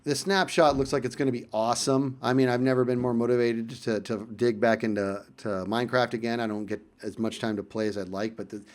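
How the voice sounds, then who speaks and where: A man casually telling a personal story in vlog style, animated and emphatic, often repeating phrases for effect. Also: mid-range voice, fairly quick, few pauses.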